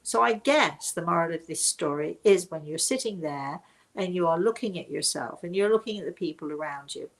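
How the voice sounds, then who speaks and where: An older woman telling reflective personal stories to her viewers in an emphatic, deliberate manner, often turning to rhetorical questions. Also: lowish voice, lively intonation. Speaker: speaker and storyteller hosting her own show.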